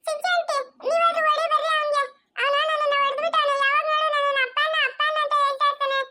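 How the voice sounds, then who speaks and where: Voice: extremely high pitch, little pitch movement, fast talker, sharp tone, full character voice. A performer voicing a whiny little girl who tattles and begs her father to hit someone, tearful and complaining.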